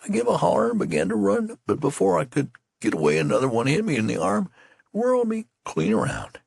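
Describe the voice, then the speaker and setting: A man's voice: mid-range voice, wildly swooping pitch, brisk, gravelly, a little mellow, husky, no room sound, clean studio sound, voice pushed up, full character voice. A man voicing a wounded, dazed soldier who rambles in folksy rustic dialect, his words slurred and pleading. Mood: shaken.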